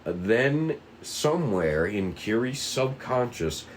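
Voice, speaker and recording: A man narrating stage directions at a table read, haltingly and stumbling over the script now and then. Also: mid-range voice, very animated, slight background noise.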